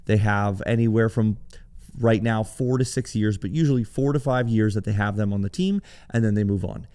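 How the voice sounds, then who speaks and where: A man hosting a podcast alone, talking in a conversational, explanatory way, his voice hoarse and raspy from cheering. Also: lowish voice, normal speed, warm tone. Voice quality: gravelly, raspy. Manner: conversational, explanatory, with some restarts. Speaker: podcast host. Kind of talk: solo business podcast talk.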